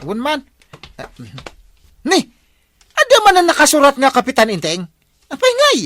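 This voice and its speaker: Brief: a high, lively, defensive young Ilocano man, dry close recording. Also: normal speed, full character voice. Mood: protesting. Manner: defensive, protesting. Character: young adult man accused of theft.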